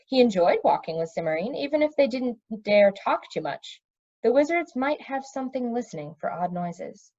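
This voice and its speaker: A woman reading a children's fantasy novel aloud as an expressive storybook narrator. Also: a little high, normal speed. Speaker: storybook reader. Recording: dull, lo-fi recording.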